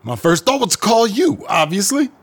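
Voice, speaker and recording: An actor playing an anxious, slangy DJ under pressure, speaking hesitantly in an audio drama. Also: male voice, sits high, sing-song, normal speed, breathy edge, full character voice. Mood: desperate, eager. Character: anxious, foul-mouthed male DJ being blackmailed.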